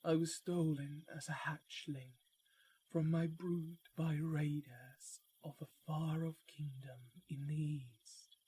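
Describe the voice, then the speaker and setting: Woman voicing a very breathy, gentle she-dragon. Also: deep voice, fairly even intonation, exaggerated voice. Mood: wistful. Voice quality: very breathy, soft. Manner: unhurried, formal, poised. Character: proud, gentle female dragon, youthful-spirited island protector. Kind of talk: fantasy audio roleplay, ASMR.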